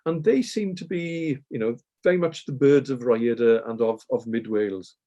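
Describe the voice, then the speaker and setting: Male interviewer, mellow tone, measured and unhurried in his questions. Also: medium pitch, dull audio. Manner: measured, long winding questions with ums.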